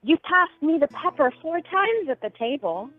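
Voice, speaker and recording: Lady playing prim sad young woman, radio drama, highish swoopy melodramatic voice, tinny line. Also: very animated, normal speed. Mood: mournful. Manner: formal, melodramatic, plaintive. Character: prim, mournful young lady in veil, demure. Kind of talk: radio play acting. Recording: tinny phone line with music behind.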